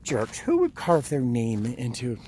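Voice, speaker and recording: Slow-talking American man vlogging outdoors. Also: mid-range voice, wildly swooping pitch, dark tone. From Rhode Island, United States. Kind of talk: casual vlog chat, thinking aloud while exploring. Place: outdoors and inside small huts at a tourist site. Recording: outdoor ambient noise, handheld camera.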